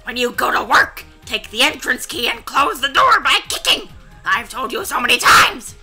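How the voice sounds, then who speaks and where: Casting: woman as furious old granny for a game, bright forceful high voice, loud and nagging. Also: very animated, moderate pace, soft background music, heavily acted. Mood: furious scolding. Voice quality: very loud, yelling. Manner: exasperated, nagging, snorting. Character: loud, scolding, scowling old grandmother.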